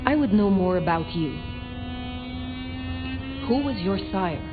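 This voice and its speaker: A woman's voice: medium pitch, expressive, slow. An actress voicing an imperious ancient female vampire in a video game, speaking in a cool, formal, archaic and commanding manner. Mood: cool curiosity. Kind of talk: video game dialogue acting. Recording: muffled game audio under music.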